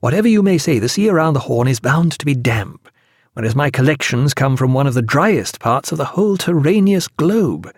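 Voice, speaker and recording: A man's voice: medium pitch, lively intonation, brisk, dry recording, clean studio sound. A man voicing a gloomy, fussy ship's doctor and naturalist in an audiobook, precise and formal. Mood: anxious, fussy.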